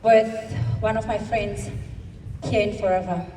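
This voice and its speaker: A South African woman in her thirties giving an emphatic motivational speech at a convention, stretching her words into slow dramatic pauses. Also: medium pitch, fairly even intonation, mellow, a little hiss or hum. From South Africa, Eastern Cape. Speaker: convention speaker. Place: large hall. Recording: echoey hall, speaker far from mic.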